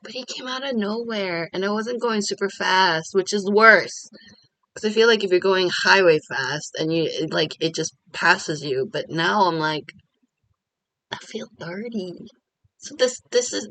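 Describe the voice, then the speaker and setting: A woman's voice: mid-range voice, a little slow, pushed and bright, dry recording, poor audio. A Korean American woman talking candidly and rambling in a casual confessional vlog from home. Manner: candid, rambling, self-deprecating. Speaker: vlogger.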